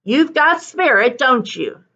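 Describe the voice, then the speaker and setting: Storybook dog musher, male, gruff and folksy, played by a woman; pitched high, forceful delivery. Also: animated, takes it slowly, lo-fi sound, heavily acted. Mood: urging, encouraging. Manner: exasperated barking, old-time mild cussing. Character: gruff, folksy man driving a sled dog team. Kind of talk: children's storybook character read aloud.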